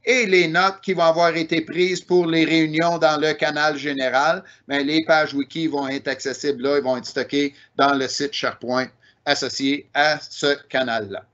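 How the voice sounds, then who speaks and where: A man with a Québécois French accent walks through software features in a steady, explanatory way, often pausing on "euh" and "ben". His voice sits a little high for a man. The audio is muffled and lo-fi, like a video call. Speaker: presenter. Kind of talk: online technical presentation.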